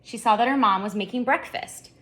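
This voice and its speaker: A woman author narrating her children's book at storytime, with expressive, deliberate phrasing for young listeners. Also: a little high. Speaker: children's author reading aloud.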